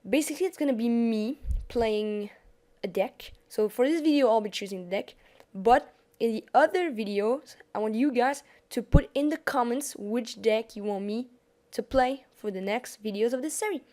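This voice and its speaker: Young french girl talking over her card game, kinda high voice, keeps restarting and apologizing. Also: moderate pace, dark tone. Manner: chatty, restarts often, apologetic. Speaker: gaming YouTuber. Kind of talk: casual gameplay commentary over screen capture.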